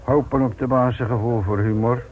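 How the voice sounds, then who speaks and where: A man's voice: mid-range voice, steady tone, a crowd in the background, close to an everyday voice. Man playing a wry police inspector, thin phone-like sound. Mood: dryly confident. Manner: dry, ironic, unhurried banter. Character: wry, stubborn male police inspector, dryly insolent. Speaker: actor in a Dutch radio play. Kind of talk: radio drama acting. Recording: narrow phone-like old radio recording.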